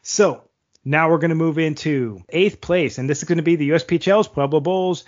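A man co-hosting a hockey podcast, a goalie, reading play-by-play rundowns of highlight goals and chatting with the others. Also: fairly quick, dull audio. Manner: reads plays like sports commentary, then chats loosely.

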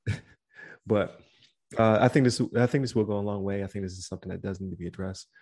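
A male podcast co-host with a somewhat low voice that is starting to wear thin. He talks in a loose, rambling way, full of fillers. He winds down the episode by reading out where to find the show. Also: fast. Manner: relaxed and rambling, many fillers, reads out the show's links at the close. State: voice starting to give out. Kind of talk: casual chat.

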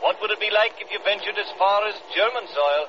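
A smug male enemy propagandist of a wartime radio drama. His voice is notably high, and he taunts with mock politeness. The old recording is muffled and clearly noisy. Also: lively intonation, a little fast. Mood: smug, taunting. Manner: mockingly polite, insinuating. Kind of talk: 1940s-50s radio drama acting.

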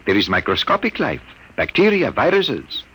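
An earnest elderly scientist. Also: male voice, mid-range voice, huge pitch swings, moderate pace, fairly bright tone, slight background noise, low-quality recording. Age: 60s. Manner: earnest, explaining. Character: aging Earthman scientist, earnest and burdened. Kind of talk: 1950s radio drama acting. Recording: old radio broadcast.

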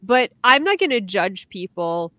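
Young Norwegian woman, podcast co-host, chatty with restarts, mid pitch, dry close recording. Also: unhurried, no room sound. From Norway. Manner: chatty, animated, many restarts and fillers. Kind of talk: casual chat.

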